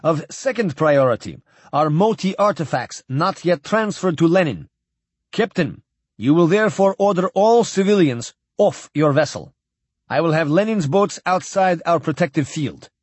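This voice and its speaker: A man playing a stern, cold admiral in an audiobook, speaking formally and flatly with unyielding authority. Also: fairly high pitch, moderate pace, husky, no echo, voice pushed up. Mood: cold, commanding. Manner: flat, formal, clipped diction. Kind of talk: audiobook character dialogue.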